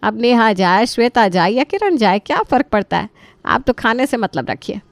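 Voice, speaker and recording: A woman's voice: fairly high pitch, lively intonation, normal speed, creaky, dry recording. A woman voicing a gracious middle-aged mother-in-law, polite and insistent, in a family story.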